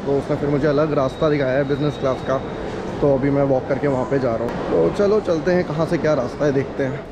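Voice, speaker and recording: A man's voice: mid-range voice, steady tone, fast talker. Chatty young man vlogging through an airport. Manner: chatty, upbeat, walking and talking to a handheld camera. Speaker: travel vlogger. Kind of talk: casual travel vlog narration. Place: large airport terminal, indoors. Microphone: action camera held at arm's length. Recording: noisy terminal ambience.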